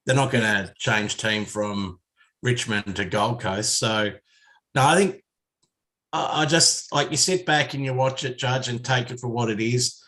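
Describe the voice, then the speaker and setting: A man with an Australian accent, giving blunt opinions in casual sports talk on the radio, with many pauses and ums. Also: medium pitch, sharp tone. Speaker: radio panelist. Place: radio studio.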